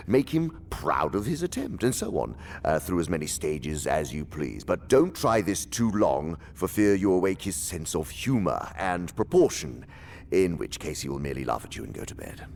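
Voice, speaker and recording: An actor playing a haughty, scheming senior demon who lectures in a pompous, theatrical, condescending way, in a radio drama. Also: male voice, big pitch swings, moderate pace, a little mellow, slight background noise, heavily acted. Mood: scheming, instructive. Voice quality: very deep, gravelly.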